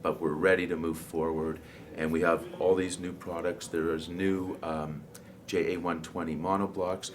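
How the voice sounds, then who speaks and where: Male trade show distributor, very low pitch, explanatory and halting, clearly noisy room. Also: flat delivery. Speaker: audio distributor presenting products. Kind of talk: informal trade show product presentation.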